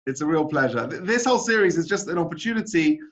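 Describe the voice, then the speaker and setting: A man's voice: fairly high pitch, expressive, fast talker, fairly bright tone. A man, a rabbi, hosting a casual remote interview in a friendly, enthusiastic way. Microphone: video call. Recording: dull lo-fi video call audio.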